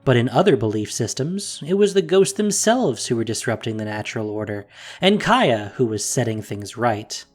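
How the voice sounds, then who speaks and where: A narrator reading a fantasy story in a measured, expressive storytelling voice. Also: medium pitch, faint music behind.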